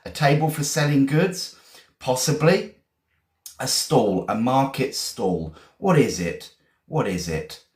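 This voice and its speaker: A man's voice: mid-range voice, echoey room. A man with an English accent, teaching English vocabulary in a live stream, chatty and encouraging, greeting viewers and repeating his questions. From England. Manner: repeats questions, slows to spell words out.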